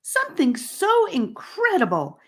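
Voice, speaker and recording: A woman's voice: extremely high pitch, wildly swooping pitch, unhurried, fairly bright tone, pitched above the performer's own voice, full character voice. A woman voicing an angry, frustrated blinded boy in an audiobook story, whining and emphatic. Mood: indignant, upset.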